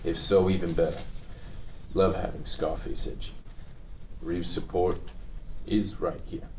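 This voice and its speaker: A man playing a hard, pragmatic lawman in a measured, matter-of-fact way at a script table reading. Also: sits low, flat delivery, darkish tone. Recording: distant mic with faint noise.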